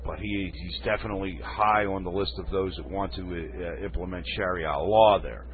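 A man's voice: low voice, fairly even intonation, normal speed, a little hiss or hum. A man hosting a radio talk show, reading news stories and adding folksy, rambling comments while losing his voice. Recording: phone-like lo-fi radio.

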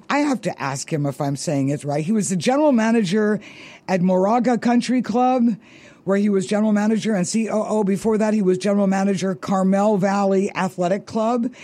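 High, mellow-voiced male radio host. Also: fairly quick, dry recording. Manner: bubbly, laughs easily, stretches words for emphasis. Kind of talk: upbeat radio interview.

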